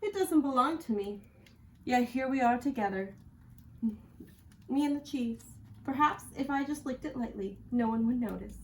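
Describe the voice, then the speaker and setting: A woman's voice: sits high, expressive, normal speed, long pauses, a little hiss or hum, pitched above the performer's own voice. A woman voicing a mild, earnest male pet dog musing to himself in a storybook, recorded at a distance from the microphone. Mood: tempted.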